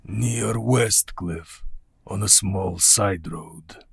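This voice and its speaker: Radio drama villain: courtly, menacing old vampire count, low and heavily gravelled, formal delivery. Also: male voice, no echo, studio quality, exaggerated voice. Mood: brooding curiosity. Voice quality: gravelly, husky. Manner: slow, formal, deliberate. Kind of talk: radio play acting.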